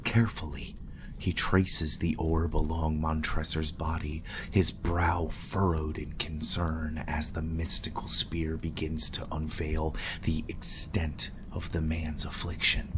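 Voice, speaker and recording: Male narrator, extremely low pitch, flat delivery, grave ornate gothic horror, noisy ambience. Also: slow pace. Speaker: narrator, game master.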